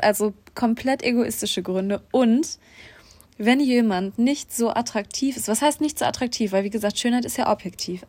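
A woman's voice: on the high side, a little mellow, no room sound. A young woman co-hosting a podcast, chatting casually and outspokenly, often speaking straight to listeners. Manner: outspoken, addresses listeners directly. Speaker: podcast co-host. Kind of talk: casual chat.

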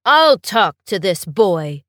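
A woman's voice: mid-range voice, very animated, takes it slowly, fairly bright tone, dry recording, clean studio sound, pitched below the performer's own voice. A woman voicing a stern but caring male school principal who speaks firmly and reassuringly. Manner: firm, reassuring.

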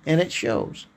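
Male podcast host, hoarse and slow, rambling political monologue. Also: a little high, darkish tone. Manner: slow, halting delivery with frequent throat clearing.

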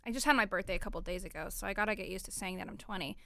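Bright, crisp-voiced young woman host. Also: medium pitch, very fast, no room sound, clean studio sound. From Orlando, Florida, USA. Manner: chatty and upbeat, with frequent "like" and "you know". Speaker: podcast host. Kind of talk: casual solo podcast chat.

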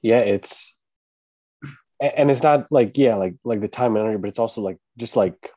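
A man hosting a podcast in casual conversation, with a calm, laid-back, monotone delivery. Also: on the low side, normal speed, no room sound. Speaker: podcast host.

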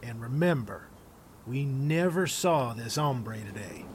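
A man's voice: medium pitch, expressive, slow pace, noisy background. A man playing a tough, callous Old West outlaw in a western radio drama. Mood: cold, scheming.

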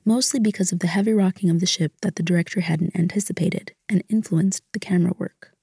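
A woman's voice: on the low side, steady tone, fast, creaky, mellow, husky, no room sound, clean studio sound. A woman narrating a documentary from a script. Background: music.

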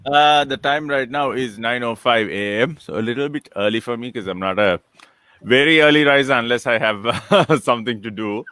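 A man in his late thirties from Sri Lanka, a guest chatting casually on a video call, with a relaxed, rambling manner, restarting and chuckling often. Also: medium pitch, normal speed, no echo. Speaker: interview guest, entrepreneur. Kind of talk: casual conversational interview.

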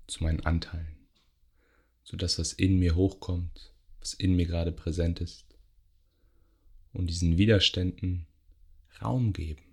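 Male meditation guide: low, even pitch, soft husky tone, gentle phrasing with pauses. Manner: gentle, unhurried, with long pauses between short phrases. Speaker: yoga nidra meditation guide. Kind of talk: guided meditation, soothing relaxation instruction.

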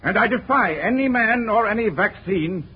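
A man's voice: high voice, lively intonation, normal speed. An actor playing a pompous, challenging male opponent of a scientist in a 1940s radio drama. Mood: defiant. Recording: muffled old radio recording with crowd.

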